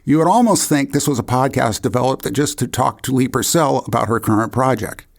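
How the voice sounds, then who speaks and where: A man's voice: medium pitch, brisk, vocal fry. A man hosting a podcast in casual interview chat, jokey and self-deprecating, often chuckling as he goes. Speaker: podcast host.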